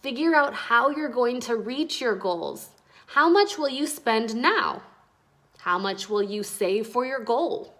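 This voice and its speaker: A kindly storybook uncle, read by a woman, explains things patiently and encouragingly. The voice is fairly high and very unhurried, with a teacherly manner. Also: lively intonation, fairly bright tone. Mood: patient, instructive. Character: kindly instructive uncle explaining money to children.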